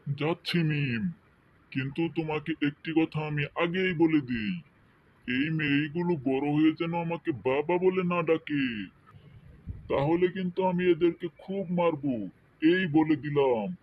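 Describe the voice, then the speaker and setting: A man's voice: a little high, measured pace, dry recording, dull audio. A man voicing a stern village husband in a cartoon tale, emphatic and scolding as he demands a son. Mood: angry, scornful. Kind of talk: cartoon fairy-tale voice acting.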